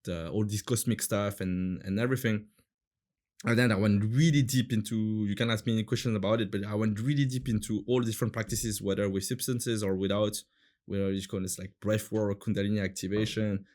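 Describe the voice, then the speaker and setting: A man's voice: lowish voice, fairly even intonation, brisk, darkish tone. A man in his thirties from France with a French accent, chatting casually as an interview guest, searching for words with many fillers and restarts.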